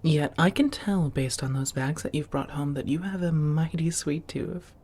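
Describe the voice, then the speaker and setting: A soft-spoken woman acting an affectionate, refined female vampire roommate in an ASMR roleplay, often near a whisper, with formal phrasing and coy pet names. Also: deep voice, normal speed, breathy edge. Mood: wry.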